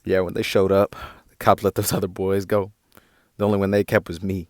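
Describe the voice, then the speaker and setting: A man's voice: low-pitched, flat delivery, fairly quick, darkish tone, dry recording, clean studio sound. A man playing a hurt, defiant younger brother in a naturalistic audio drama, with an American accent and brooding, slangy speech. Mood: brooding, wounded.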